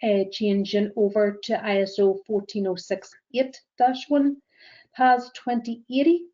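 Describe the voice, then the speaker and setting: A woman with a Northern Irish accent presenting a webinar as a greenhouse gas verifier, speaking in a measured way with frequent ums and uhs. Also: mid-range voice, steady tone, mellow, dull audio. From Northern Ireland.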